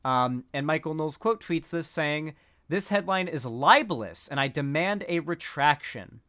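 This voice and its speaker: A man's voice: fairly high pitch, animated, moderate pace, bright, forceful tone. A man giving casual political commentary, rambling with frequent restarts.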